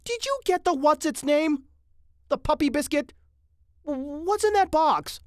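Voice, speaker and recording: Narrator acting a shrill, nagging, high-pitched wife. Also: expressive, a little slow, no room sound, clean studio sound. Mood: exasperated, scolding. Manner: scolding, impatient questioning. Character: bossy, exasperated nagging wife. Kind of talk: storybook character reading.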